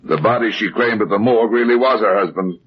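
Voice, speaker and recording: Low-voiced, hard-boiled radio detective. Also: male voice, fast talker, husky. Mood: businesslike. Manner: brusque, slangy, hard-boiled. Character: tough, wisecracking, short-tempered male private detective. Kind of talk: vintage radio detective drama acting. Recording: dull vintage radio recording.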